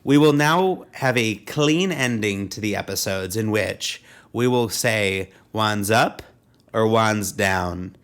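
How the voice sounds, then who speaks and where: A woman's voice: extremely low pitch, slow pace. A woman co-hosting a comedy podcast, trading casual banter in a dry, wry manner. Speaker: podcast co-host.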